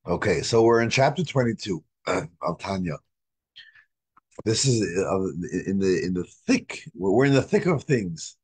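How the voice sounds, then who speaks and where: A man in his thirties with a hoarse voice, teaching an informal religious class on a video call, explaining step by step in a halting way and repeating phrases for emphasis. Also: low-pitched, darkish tone. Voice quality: husky. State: hoarse, recovering from a lost voice. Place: indoors, home study with bookshelves. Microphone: video call microphone.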